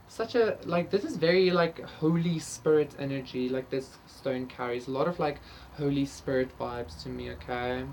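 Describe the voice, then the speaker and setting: A woman, an Afrikaans speaker, talks casually through a tarot reading in a somewhat low voice. She is chatty, with frequent "like" and "okay?" tags. A faint hiss sits under the recording. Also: low-quality recording. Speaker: tarot reader.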